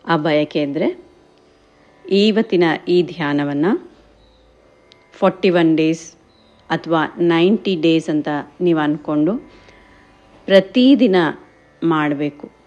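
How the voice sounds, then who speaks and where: A woman guides a meditation in gentle, encouraging instructions, addressing listeners as friends and mixing in English words. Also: low voice, slow, long pauses, highs cut off, faint music behind. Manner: mixes English words and phrases into speech.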